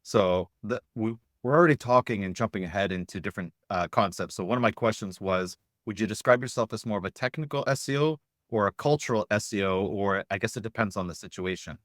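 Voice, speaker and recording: Male interviewer, notably low voice. Loose, thinking-aloud conversational delivery, dry recording. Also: fast talker, hardly any pauses, dry recording. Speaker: podcast interviewer.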